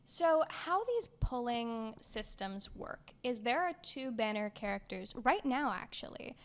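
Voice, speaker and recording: Woman co-host with a fairly high voice, playful, dramatic, laughs often, casual gaming talk. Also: big pitch swings, a little slow. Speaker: podcast co-host.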